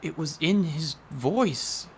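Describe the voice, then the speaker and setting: A man's voice: fairly high pitch, slow pace, slightly rough, exaggerated voice. A man playing a haunted, intense writer of horror stories in a radio drama, speaking in an earnest, florid, articulate way. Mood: indignant, insistent. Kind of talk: theatrical radio drama acting.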